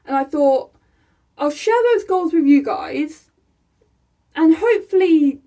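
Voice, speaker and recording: A young woman from England, chatting casually to her camera in a small room, excitable and laughing often. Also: sits high, slow pace. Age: young adult. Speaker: vlogger. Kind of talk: casual vlog chat. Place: small room by a rainy window, indoors.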